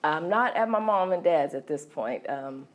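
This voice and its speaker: A middle-aged woman from California telling her life story as an interview guest, hesitant with many ums and soft laughs. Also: low-pitched, animated, normal speed. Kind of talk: candid personal storytelling.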